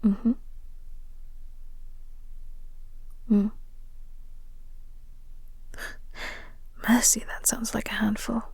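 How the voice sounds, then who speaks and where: A woman playing a flirty, teasing vampire office co-worker in an intimate audio roleplay, speaking softly and breathily with a coy, unhurried manner. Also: medium pitch, level tone, dry recording. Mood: teasing, attentive. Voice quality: soft, breathy, whispery. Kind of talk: intimate audio roleplay, whispered ASMR-like acting.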